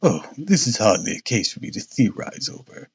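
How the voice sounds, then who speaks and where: A man voicing a cool, analytical gentleman detective who speaks quietly and dryly, lecturing. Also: fairly low pitch, sing-song, moderate pace, vocal fry, breathy edge, lo-fi sound, exaggerated voice.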